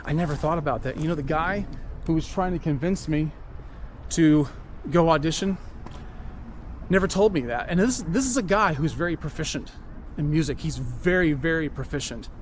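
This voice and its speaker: Man, fairly high voice, reflective walk-and-talk, repeats himself, outdoor recording with faint noise. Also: moderate pace. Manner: rambling, earnest, with restarts and repeated phrases. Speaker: vlogger. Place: outdoors, walking.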